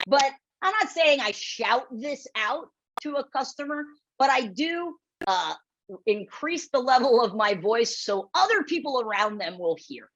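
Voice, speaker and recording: A woman with a loud voice presenting a webinar, blunt and direct, often checking in with the audience as she coaches. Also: on the high side, lively intonation, unhurried. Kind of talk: instructional webinar talk. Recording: dull lo-fi webinar audio.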